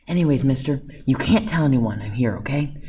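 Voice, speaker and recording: Someone acting a cheeky little boy bragging away, chatty, mid pitch, radio play. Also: expressive, fairly quick, dark tone, a little hiss or hum, natural voice. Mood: playful. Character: boastful, playful young boy hiding in vents.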